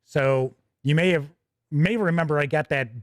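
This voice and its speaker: A man speaks as a livestream host in a fairly high register. His delivery is conversational and loose, wandering and doubling back as he chats with viewers. The sound is very dry, with no room echo around the voice. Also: moderate pace. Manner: rambling, conversational, self-interrupting. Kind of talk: casual livestream chat, answering viewer questions.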